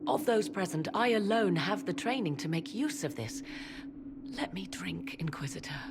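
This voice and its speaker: An actress voicing a proud, ambitious witch and scholar of ancient lore in a fantasy game, speaking formally and with imperious, measured persuasion. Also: lowish voice, lively intonation. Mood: haughty, insistent. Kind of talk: dramatic fantasy game dialogue. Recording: clear ambient noise behind voice.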